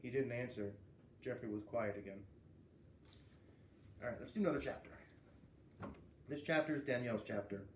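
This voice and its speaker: Male storyteller for a children's read-aloud: expressive, medium pitch, roomy sound with faint noise. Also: normal speed, pauses often, far from the mic.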